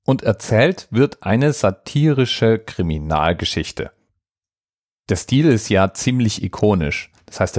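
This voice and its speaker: A man's voice: on the low side, no room sound, clean studio sound. A man hosting a podcast, explaining things in a casual solo monologue. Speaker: podcast host.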